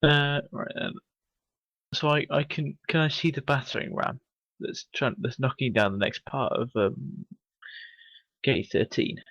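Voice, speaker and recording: Man, gravelly voice, dry close sound, casual player. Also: medium pitch, a little slow, a bit bright, dry recording, dull audio. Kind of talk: tabletop game chat.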